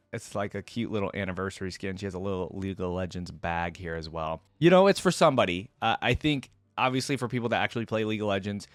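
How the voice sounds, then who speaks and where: Low-voiced man, casual reaction commentary. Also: smooth, no echo. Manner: informal, thinking aloud, slangy. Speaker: reaction video host.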